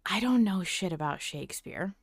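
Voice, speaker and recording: Brief: laid-back woman host, a bit low, dry close mic, joking and casual. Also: lively intonation, normal speed, no echo. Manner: playful, casual, swears lightly. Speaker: podcast host. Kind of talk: casual podcast chat.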